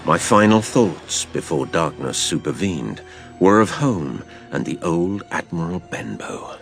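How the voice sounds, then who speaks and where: A man narrating a dramatised adventure story in a theatrical, measured storytelling manner. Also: deep voice, very animated, gravelly, soft background music.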